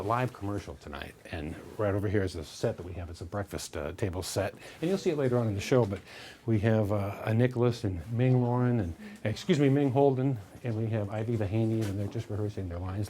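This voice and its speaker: A man hosting a talk show, chatting in a halting way and often repeating words as he tells stories. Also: on the low side. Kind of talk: comedic monologue and show hosting to an audience.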